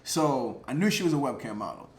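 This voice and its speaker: A man's voice: mid-range voice, brisk. Chatty man telling a story in a roomy space. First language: English. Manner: chatty, lots of "like" and "um" fillers, laughs between thoughts; animated, gets louder at exciting moments. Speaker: vlogger telling a personal story. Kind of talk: casual storytelling to camera. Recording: roomy echo, faint background noise.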